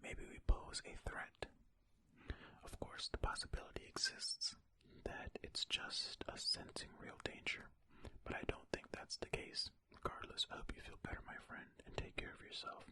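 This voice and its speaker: An ASMR host speaking in a breathy, unvoiced whisper, reading aloud and commenting in a gentle, chatty, informal way. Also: extremely high pitch, flat delivery, normal speed.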